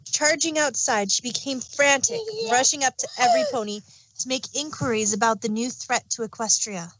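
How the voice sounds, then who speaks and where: A woman's voice: high voice, big pitch swings, normal speed, fairly bright tone, poor audio. A woman reading fan fiction aloud as an amateur storyteller and narrator.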